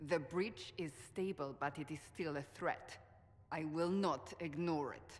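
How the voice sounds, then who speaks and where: A woman's voice: low-pitched, a little slow. An actress playing a stern, devout warrior woman in a fantasy game, speaking in a formal, commanding and solemn way. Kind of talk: dramatic fantasy game acting. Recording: long reverb of a large hall.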